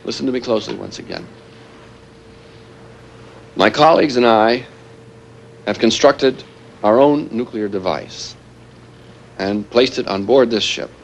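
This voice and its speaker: An actor playing a grave, earnest former weapons planner turned militant, delivering a deliberate televised statement in a realistic drama. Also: male voice, mid-range voice, creaky, a little hiss or hum. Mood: grave, threatening. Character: grave male former weapons planner turned anti-nuclear hostage-taker.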